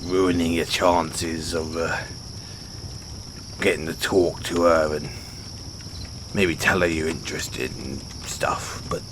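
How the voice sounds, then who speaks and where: A man playing a gruff but good-hearted orc barbarian in a fantasy audio roleplay, bashful and loyal, stumbling over his words in halting speech. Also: deep voice, fairly even intonation, noisy background. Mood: sheepish, apologetic. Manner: halting, fumbling speech with sheepish stammers. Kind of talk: fantasy audio roleplay, intimate one-sided dialogue. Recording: outdoor night ambience with crickets behind.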